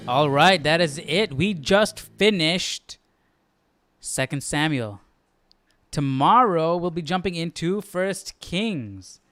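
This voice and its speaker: Casual, slightly high-voiced man streaming. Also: lively intonation, slow pace, a bit bright, no room sound. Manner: informal, thinking aloud. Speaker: host of a live Bible study. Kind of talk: casual livestream chat.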